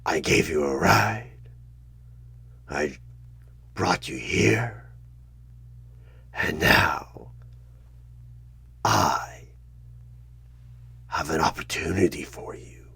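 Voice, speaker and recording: A man playing a sinister demonic tempter who poses as a job interviewer in a horror ASMR roleplay, coaxing with halting, menacing calm in a gravelly, breathy half-whisper. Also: very deep voice, full character voice. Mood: coaxing, tempting. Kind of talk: horror ASMR one-sided audio roleplay.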